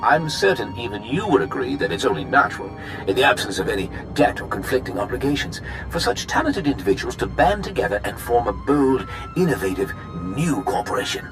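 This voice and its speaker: Man acting a slick corporate executive, pompous, glib, teasing, swooping lively intonation, faint music behind, sci-fi game. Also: mid-range voice, a little fast, close to an everyday voice, low-quality recording. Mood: smug, teasing. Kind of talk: video game cutscene acting.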